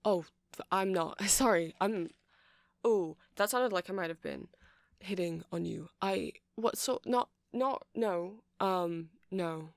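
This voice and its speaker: Man voicing a nerdy male vampire, shy and earnest, fairly even low-key pitch, gravelly creak, nervous stammering, ASMR roleplay. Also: slow, no room sound, studio quality. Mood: flustered, embarrassed. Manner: hesitant, rambling, awkward nervous stammering.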